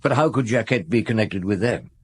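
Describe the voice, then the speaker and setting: An actor playing a shrewd, patient chief inspector in a radio drama, with a dry, probing, unhurried manner. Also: male voice, fairly low pitch, husky. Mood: shrewd questioning. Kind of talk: radio drama acting with narration.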